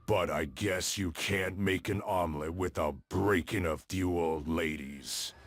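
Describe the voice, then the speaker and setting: An actor playing an imposing, gloating crime lord in a comic-book audio drama, with an eloquent, theatrical, contemptuous delivery. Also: male voice, deep voice, steady tone, unhurried. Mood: mocking. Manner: theatrical, grandiloquent, taunting. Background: music.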